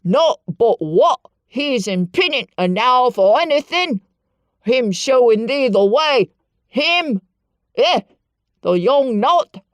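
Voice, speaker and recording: Give me a woman playing a cross old Yorkshire gardener, loud and dragging his words. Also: a little high, sing-song, dry recording, pitched above the performer's own voice. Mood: outraged. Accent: Yorkshire dialect. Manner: loud, slow haranguing. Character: angry old male gardener, gruff and scolding.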